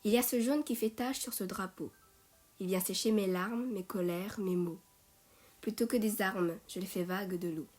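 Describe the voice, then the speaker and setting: A woman reciting poetry in a measured way, phrase by phrase. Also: mid-range voice, darkish tone. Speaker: poet reading own poem. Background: music.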